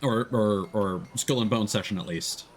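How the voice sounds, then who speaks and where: A man's voice: lowish voice, moderate pace, slight background noise. A man livestreaming casual gameplay commentary, thinking aloud in a playful way. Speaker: live streamer.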